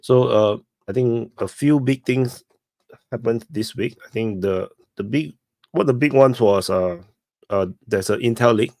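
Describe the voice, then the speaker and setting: A man hosting a casual online discussion, talking haltingly with many fillers and restarts. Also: mid-range voice, mellow, no room sound. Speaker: host.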